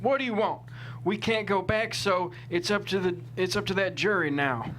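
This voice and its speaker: A high male voice from an actor plays a stubborn, irate cattleman in a script read. He is exasperated and combative by temper. A light hum runs underneath. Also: normal speed, a bit bright. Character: angry, stubborn Texas cattleman in a lost lawsuit. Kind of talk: table read of a screenplay.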